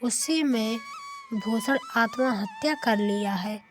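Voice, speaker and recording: A woman's voice: on the high side, slow, music in the background. A woman voicing an obsequious, cowardly young manservant in a cartoon story. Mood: conspiratorial.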